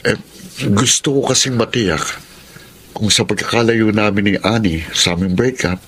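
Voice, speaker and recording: A man's voice: lowish voice, normal speed, a bit bright, slight background noise, close to an everyday voice. An actor with a Filipino accent playing an earnest, conflicted young man in a radio drama. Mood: earnest, firm.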